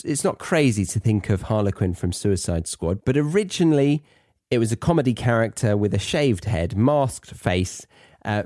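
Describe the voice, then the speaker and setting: British male podcast teacher, soft dark tone, dry sound, conversational and thoughtful delivery. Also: mid-range voice, no echo. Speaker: podcast host and English teacher. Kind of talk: conversational educational podcast talk.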